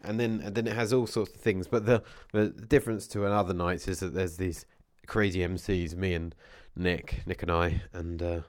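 A man talks to the camera in a very low voice, with a cold wearing it down. The sound is dry and close. He rambles loosely and keeps losing his thread. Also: steady tone, moderate pace, few pauses, no room sound. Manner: meandering, with many restarts and asides. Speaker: vlogger. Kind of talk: casual rambling chat to camera.

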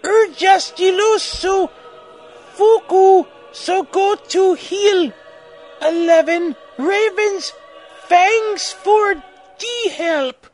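Teen girl fanfic writer, acted by a man: very high, defiant, drawn-out, garbled storybook aside. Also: soft background music, exaggerated voice, low-quality recording. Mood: squeaky defiance.

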